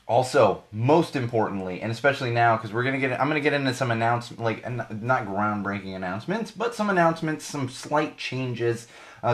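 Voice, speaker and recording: A man in his thirties hosts a podcast live, his voice a little hoarse and worn. He talks in a loose, rambling way, circling back and correcting himself mid-sentence. His pitch sits in an ordinary middle range. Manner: chatty, rambling, self-correcting. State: slightly hoarse, losing his voice. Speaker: podcast host. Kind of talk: live podcast monologue with plugs.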